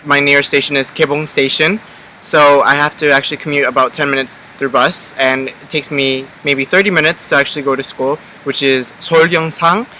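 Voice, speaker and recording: A man's voice: on the high side, fairly even intonation, brisk, sharp tone, a little hiss or hum, low-quality recording. An eighteen-year-old man from Connecticut chatting casually as an exchange student vlogger, rambling with many ums and restarts. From Connecticut, USA. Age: teens. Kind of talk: casual chat.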